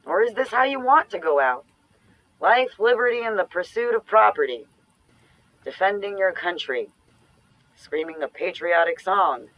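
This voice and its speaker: A woman's voice: mid-range voice, lively intonation, normal speed, pauses often, no echo, dull audio, natural voice. A woman acting an anxious, sardonic ex-girlfriend in a naturalistic audio drama, speaking in a dry, opinionated, conversational way. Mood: exasperated. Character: anxious, sardonic ex-girlfriend living through a zombie outbreak.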